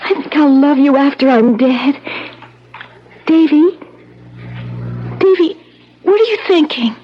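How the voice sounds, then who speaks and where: Naive young bride, very high voice, plaintive, pleading, old radio melodrama, dull vintage recording. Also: female voice, expressive, takes it slowly, slight background noise. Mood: devoted, pleading. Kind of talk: old-time radio drama acting.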